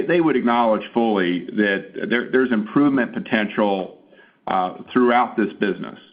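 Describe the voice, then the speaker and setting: A man answering analyst questions as a company executive on a business call, speaking in measured corporate language. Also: medium pitch. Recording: narrow, tinny phone line.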